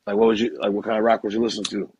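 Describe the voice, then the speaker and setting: A man hosting a casual interview, asking questions haltingly with many restarts. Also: on the low side, flat delivery, a little mellow, breathy edge. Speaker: podcast host.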